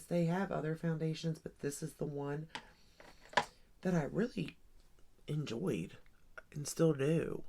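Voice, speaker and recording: A woman's voice: low-pitched, vocal fry, darkish tone. A woman in her forties chatting casually while doing her makeup, rambling and thinking aloud with lots of ums and likes. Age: 40s. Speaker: beauty vlogger. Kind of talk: casual get-ready-with-me makeup chat.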